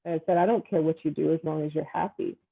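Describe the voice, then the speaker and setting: A woman's voice: low voice, steady tone, fast talker, creaky. A woman talking in a casual video-call conversation, earnest and reflective, with many fillers. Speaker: dating guest. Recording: tinny phone-like line.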